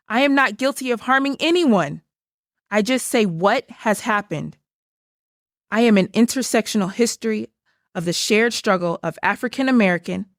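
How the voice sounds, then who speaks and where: A proud, earnest history book stands up for itself in a puppet show, played by a woman. The delivery is declamatory and theatrical at a middling pitch. The sound is dry, with little room. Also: no echo.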